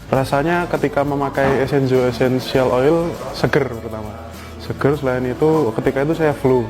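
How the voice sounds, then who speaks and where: A man's voice: mid-range voice, moderate pace, low-quality recording. A man from Surabaya giving a spoken product testimonial as a customer. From Surabaya, East Java, Indonesia. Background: background music.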